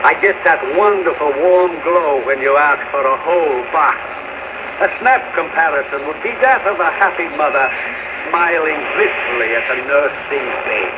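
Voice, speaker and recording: A man's voice: on the high side, moderate pace, close to an everyday voice. A man playing a florid, wheedling old barfly informant in an old-time radio detective comedy, speaking in pompous, flowery phrases. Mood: flowery, blissful. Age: older. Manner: pompous, flowery, grandiloquent speech. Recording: noisy old radio broadcast over shortwave.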